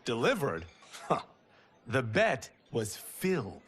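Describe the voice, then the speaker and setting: An actor playing a cocky, boastful young farmer who loves to fight, in a video game cutscene, teasing and laughing. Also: male voice, medium pitch, wildly swooping pitch, slow, a bit bright, husky, exaggerated voice. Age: young adult. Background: game score music.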